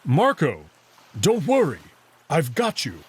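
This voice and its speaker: A man plays a big, protective furry alien male in a visual novel. He sounds very husky and breathy, speaking slowly and earnestly, with dramatic swoops in pitch and a worried, caring temper. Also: wildly swooping pitch, exaggerated voice. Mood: reassuring. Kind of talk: visual novel character voice acting.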